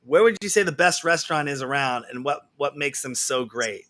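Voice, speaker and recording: A man's voice: mid-range voice, animated, brisk, a bit bright. A man hosting an interview, reading viewer questions out and rephrasing his own questions loosely. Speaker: interview host.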